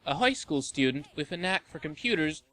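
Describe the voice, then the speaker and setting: A man's voice: fairly high pitch, sing-song, fairly quick, bright, forceful tone. A man reading a scripted review narration as the host of a countdown list video.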